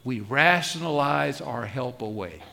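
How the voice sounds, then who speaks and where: A man's voice: mid-range voice, lively intonation, unhurried, creaky, bright, forceful tone. A man preaching a sermon as pastor, conversational and self-deprecating, often asking the congregation rhetorical questions.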